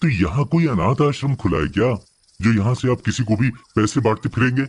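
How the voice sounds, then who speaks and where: A man's voice: medium pitch, animated, fairly quick, darkish tone, slightly rough. A man playing an irritable, hard-working son and husband in a moral story cartoon, with a complaining, reproachful manner. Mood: angry.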